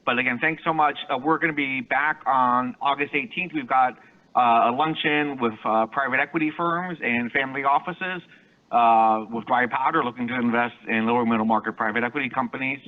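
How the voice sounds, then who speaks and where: Male moderator, brisk and upbeat, tinny phone-line sound. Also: medium pitch. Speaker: host, moderator. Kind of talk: panel moderation. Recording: tinny phone-like call audio.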